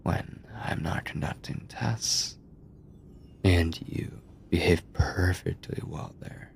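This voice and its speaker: A man playing an obsessive, controlling mad scientist in an ASMR horror roleplay, speaking deliberately with a breathy, clinical yet doting manner, often near a whisper. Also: low voice, a little hiss or hum, exaggerated voice. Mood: calm menace. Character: obsessive yandere mad scientist, soft-spoken, controlling male captor.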